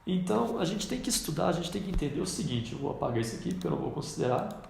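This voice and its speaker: A man with a cold talks through a chart in a casual, pointing way. His voice sits a touch high and very gravelly. The sound comes back strongly off the walls of an echoey room. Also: animated, very fast, darkish tone, a little hiss or hum. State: congested with a cold. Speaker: trading instructor. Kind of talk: screen-recorded trading lesson.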